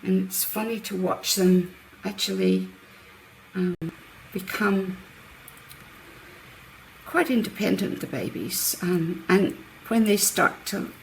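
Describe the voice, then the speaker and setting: A woman hosting a card-making demonstration, chatting through each step with a croaky voice. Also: mid-range voice, slow. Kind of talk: casual craft demonstration. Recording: roomy, mic at a distance, faint background noise. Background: birds outside.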